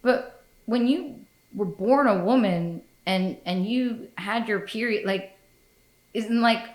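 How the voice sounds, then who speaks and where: A woman's voice: mid-range voice, slow pace. A woman hosting a podcast in casual chat, blunt and profane, laughing often. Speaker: podcast host. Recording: echoey room.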